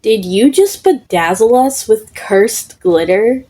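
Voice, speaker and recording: A woman voicing an excitable, friendly male ghost in a story, gushing and dramatic. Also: mid-range voice, takes it slowly, slightly rough. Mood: theatrical.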